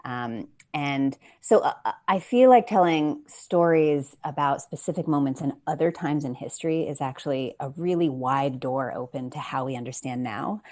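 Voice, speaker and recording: A woman panelist explaining her work in an animated, casual way. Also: very deep voice, moderate pace, few pauses. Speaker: panelist, playwright. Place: indoors on a video call.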